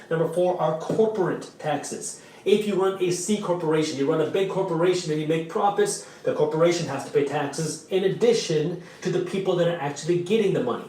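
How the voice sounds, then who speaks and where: A man whose first language is Punjabi, working as an attorney, walks through a topic point by point. His voice sits slightly high. It is heard from afar in a very echoey replay. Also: darkish tone, far from the mic. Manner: clear, structured, lecturing. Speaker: attorney explainer. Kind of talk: scripted explainer video.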